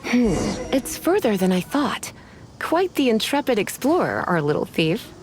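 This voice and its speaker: A woman's voice: on the high side, very animated, a little hiss or hum. A teasing witch librarian. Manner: teasing, unhurried, calls others sweetie and cutie. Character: adult female witch librarian, teasing and languid. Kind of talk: game voice acting. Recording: game audio with soft music behind.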